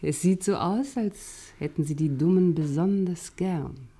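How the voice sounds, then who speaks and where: An actress playing a vain, haughty wife in a radio drama, speaking in an imperious, cutting and coquettish way. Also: female voice, lowish voice, unhurried, mellow, husky.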